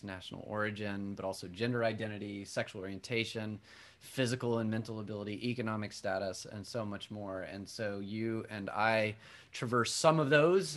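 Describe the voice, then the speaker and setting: A man's voice: fairly low pitch, a bit bright. A man who is a pastor, reading scripture and then earnestly welcoming a guest into a conversation during a church service. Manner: earnest, heartfelt, with some restarts. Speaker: Presbyterian pastor and podcaster hosting a conversation. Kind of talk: worship-service dialogue, welcoming and introducing a guest.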